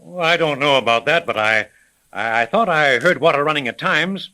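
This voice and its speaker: An actor playing an evasive, stuffy millionaire in a 1930s radio mystery, speaking formally and haltingly. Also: male voice, a little high, expressive, sharp tone, poor audio. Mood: uneasy, recalling. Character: evasive, stuffy millionaire businessman, a kidnapping victim.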